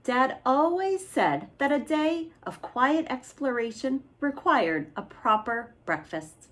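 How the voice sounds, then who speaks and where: A woman reading a children's picture book aloud as an expressive bedtime story. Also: high-pitched, slow pace.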